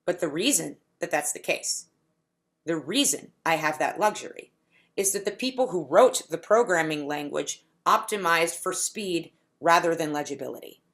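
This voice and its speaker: Deliberate woman giving a conference talk. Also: low-pitched, expressive, fairly bright tone. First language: English. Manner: deliberate, explanatory, stretches key words. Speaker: conference speaker. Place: home setup for a virtual conference.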